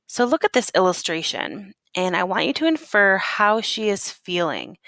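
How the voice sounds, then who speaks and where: A woman reads a children's storybook aloud like a teacher, unhurried and very expressive in pitch. She leans on key words and puts questions to her young listeners. The sound is close and dry. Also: no echo. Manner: deliberate, dramatic emphasis on key words. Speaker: teacher reading a picture book aloud.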